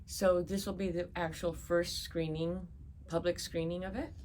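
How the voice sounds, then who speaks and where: Woman host with a very low voice, curious and friendly, faint hiss. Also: flat delivery, normal speed, a little mellow. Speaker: podcast host. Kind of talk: casual interview questions.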